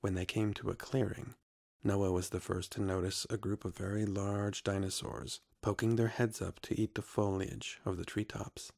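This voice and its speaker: A man's voice: lowish voice, little pitch movement, moderate pace, gravelly, a little mellow. A man narrating a scripted adventure story.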